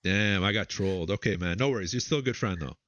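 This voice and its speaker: A man hosts and casts a strategy game tournament in a relaxed, chatty way, with plenty of drawn-out fillers. His voice sits on the low side. The recording is dry and close. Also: no echo, dull audio. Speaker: tournament caster and host. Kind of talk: live game commentary and interview.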